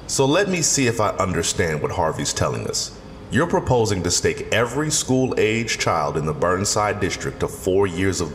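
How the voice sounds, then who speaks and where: An actor as an earnest male council elder in a radio play, low in pitch and very animated in intonation. The voice rings in a long reverb with music underneath. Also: full character voice. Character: male community council leader, wary and earnest. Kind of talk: audio drama acting.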